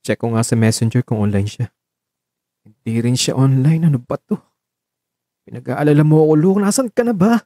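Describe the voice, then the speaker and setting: Man acting fretful, caring Marco, mellow-voiced. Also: medium pitch, expressive, normal speed, long pauses, no echo, studio quality, close to an everyday voice. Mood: worried. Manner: exasperated, insistent, emotional.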